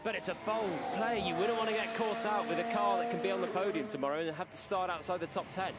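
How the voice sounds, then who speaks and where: A man's voice: sits high, fast talker, over loud music. A man giving live analysis as a motor racing co-commentator, with cars heard behind him.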